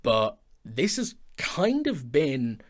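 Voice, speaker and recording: A man's voice: medium pitch, wildly swooping pitch, extremely slow. Chatty, informal man co-hosting a show. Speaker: podcast co-host and audio drama maker. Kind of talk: casual behind-the-scenes chat. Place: recording studio.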